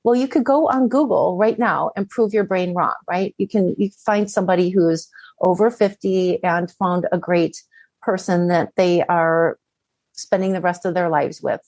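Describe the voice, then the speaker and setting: A woman's voice: on the low side, moderate pace, darkish tone, no room sound. Middle-aged woman life coach chatting advice, asks lots of questions, dry close sound. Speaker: coach, podcast guest.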